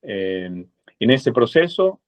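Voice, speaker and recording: A man from Argentina with an Argentine Spanish accent, a lawyer speaking as a guest in a webinar, measured and full of hesitant fillers. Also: medium pitch, sing-song. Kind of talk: webinar presentation. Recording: dull lo-fi webinar audio.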